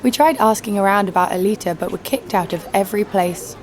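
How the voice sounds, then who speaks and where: A woman's voice: medium pitch, a little fast, darkish tone, natural voice. A woman playing a fearless, sardonic bounty hunter who tells her own story with dry, snarky delivery in an audio drama. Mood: wry narrating. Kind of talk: scripted first-person audio drama narration. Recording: music under the voice.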